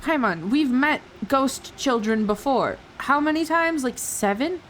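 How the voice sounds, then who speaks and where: Girl streamer reacting to a game, high voice, takes her time, teasing n repeats stuff, faint noise. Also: lively intonation, measured pace, fairly bright tone, rough recording. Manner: giggly, teasing, repeats words for effect. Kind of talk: casual live game reaction commentary. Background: game audio.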